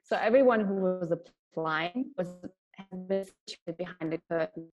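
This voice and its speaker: A woman's voice: fairly low pitch, measured pace, dull audio. A woman from Germany giving an earnest, explanatory talk on bias and inclusion. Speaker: speaker on diversity and inclusion.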